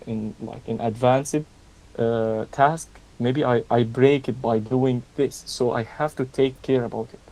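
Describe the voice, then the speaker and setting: A young man from Egypt presenting an online coding tutorial in a casual, halting way, with many fillers and restarts. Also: mid-range voice, mellow, poor audio. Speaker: learning ambassador, session presenter.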